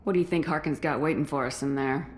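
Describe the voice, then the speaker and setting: A woman playing a steady, principled military commander in a video game, firm and questioning in manner. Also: deep voice, fast, noisy background, lightly acted. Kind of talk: video game character acting. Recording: music behind the voice.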